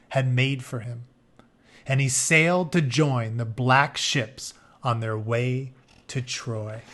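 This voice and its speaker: Higher-pitched man reading a story aloud. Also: fairly bright tone. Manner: informal, explanatory. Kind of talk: expressive read-aloud with casual explanatory asides.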